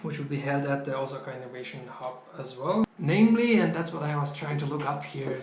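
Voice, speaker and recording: A young man whose first language is not English, co-hosting a casual podcast chat in a loose, halting way with many restarts. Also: mid-range voice, a little mellow, echoey room, a little hiss or hum. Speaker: co-host. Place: small bright office room.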